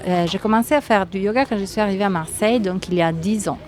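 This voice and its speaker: A woman from Italy speaking with an Italian accent, answering interview questions in a hesitant, thoughtful way with many pauses and fillers. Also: medium pitch, darkish tone, soft background music. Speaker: guest.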